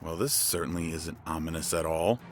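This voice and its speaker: A man's voice: extremely low pitch, fairly quick, faint music behind. A man playing a brave, wry young warrior in a fantasy audio drama, with a dramatic delivery.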